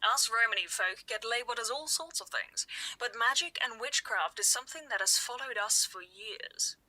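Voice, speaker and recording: A woman playing a theatrical, knowing Romany fortune-teller in an audio drama, deliberate and portentous, with a breathy, sometimes hushed delivery. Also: on the high side, lively intonation, no room sound, exaggerated voice, low-quality recording. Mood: proud, portentous. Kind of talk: audio drama acting in podcast interview.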